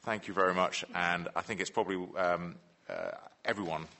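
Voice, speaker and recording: A council leader speaks in a deep voice with a bright, forceful edge. He talks formally while calling a vote and fills his pauses with "uh". A faint hiss lies beneath him. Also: vocal fry. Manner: formal, full of "uh" fillers.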